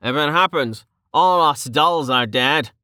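A woman's voice: deep voice, measured pace, pushed and bright, no echo, studio quality, voice pushed up. A woman voicing a gloomy, fatalistic man in an audiobook, with a resigned drawl. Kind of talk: audiobook character acting.

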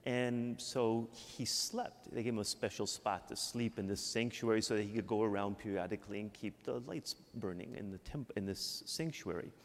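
A man's voice: on the low side, normal speed, few pauses. A Midwestern American man from Michigan preaching a sermon as a priest, talking in a conversational way and often adding "right?". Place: church. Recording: long church reverb.

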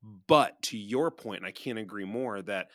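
A man's voice: lowish voice, measured pace, a bit bright, no room sound, clean studio sound. A middle-aged man in a casual podcast discussion, co-hosting with an earnest, reflective manner that carefully qualifies his points. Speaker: podcast co-host.

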